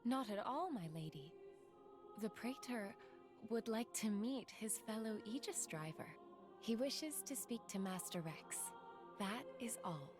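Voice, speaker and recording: An actress playing a serene young woman envoy in a video game cutscene, speaking with formal, measured courtesy. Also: on the high side, lively intonation. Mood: courteous, composed. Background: music.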